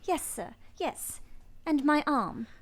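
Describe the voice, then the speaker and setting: Actress as earnest young governess, high voice, polite and deferential, period radio drama. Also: female voice, very animated, slow, exaggerated voice. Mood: devoted. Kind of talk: radio play acting and narration.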